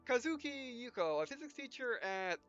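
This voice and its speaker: A man with a fairly high voice reads game text aloud in a casual, stumbling way, voicing the punctuation too. The sound is dull and lo-fi, with clear game music underneath. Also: sing-song, a bit bright. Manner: halting, reads punctuation and speaker names aloud. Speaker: let's player reading visual novel text aloud. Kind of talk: casual gameplay read-aloud with asides.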